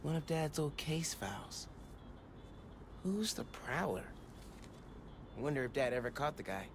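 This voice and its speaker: An actor playing an easygoing teenage boy hero in a video game, with relaxed, casual city-kid talk. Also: fairly high pitch, measured pace, noisy background. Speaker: game character.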